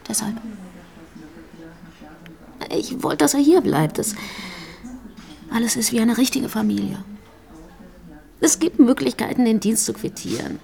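An actress playing a bitter, grieving young pregnant girlfriend in a naturalistic radio drama, speaking haltingly and emotionally. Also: fairly high pitch, gravelly, a little mellow. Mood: guilty, distressed. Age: young adult.

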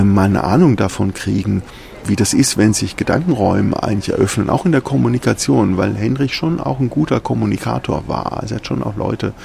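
Gravelly professor recalling, outdoors. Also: male voice, lowish voice, warm tone. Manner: hesitant, repeating words, tag "ja". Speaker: professor interviewee. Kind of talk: casual recollection. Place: university courtyard outdoors.

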